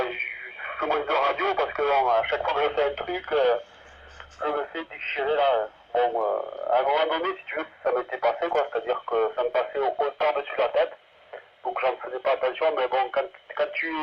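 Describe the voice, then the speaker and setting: A man's voice: mid-range voice, slight background noise. A man chatting casually as an amateur radio operator, rambling and hesitant, heard through a radio link. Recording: muffled, narrow-band two-way radio transmission.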